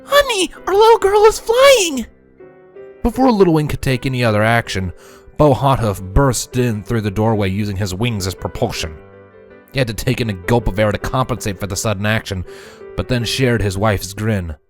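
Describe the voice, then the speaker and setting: A man giving an expressive scripted narration of a fan fiction story. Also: lowish voice, a little fast, soft background music.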